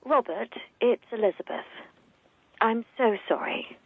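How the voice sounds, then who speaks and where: An actress playing a worried estranged wife calling on the phone in a radio drama. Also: female voice, a little high, animated, measured pace, vocal fry, slightly rough, dry recording, exaggerated voice. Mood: anxious. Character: Elizabeth, Robert's anxious estranged wife. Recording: dry tinny phone line.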